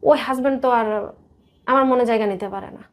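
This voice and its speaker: A Bangladeshi woman in her thirties, speaking as a guest confiding her life story in an interview, in a hesitant, halting way. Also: on the high side. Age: 30s. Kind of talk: personal confession in interview.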